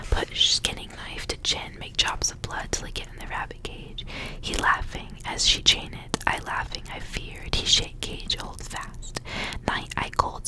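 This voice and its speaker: A woman reading a story aloud for ASMR in a breathy, unvoiced whisper, soft and hushed, in short phrases. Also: rough recording. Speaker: ASMR reader.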